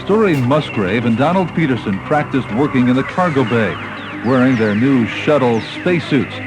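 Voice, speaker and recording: A man's voice: medium pitch, lively intonation, moderate pace, rough recording. American man narrating a documentary over music. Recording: 1980s cassette tape, music behind. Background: background music.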